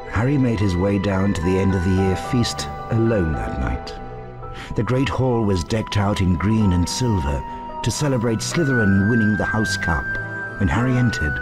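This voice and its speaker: A man reading scripted storybook narration, acting out the characters' quoted lines. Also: on the low side.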